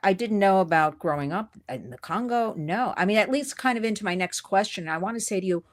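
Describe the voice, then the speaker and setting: Gushing, animated New woman host. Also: mid-range voice, fairly quick. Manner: animated, gushing, laughs often. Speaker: podcast host. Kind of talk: enthusiastic interview chat.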